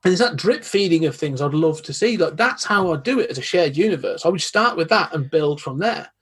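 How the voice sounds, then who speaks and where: A man's voice: on the high side, expressive, fairly quick, fairly bright tone, breathy edge. A man chatting on a podcast with an enthusiastic manner and some hesitant restarts. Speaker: podcast co-host. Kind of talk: casual chat.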